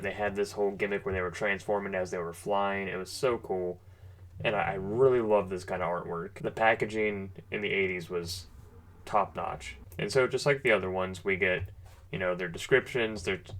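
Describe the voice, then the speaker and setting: A man's voice: deep voice, fairly bright tone. A man giving a casual, unscripted product review as a presenter, talking in an informal, enthusiastic, conversational way.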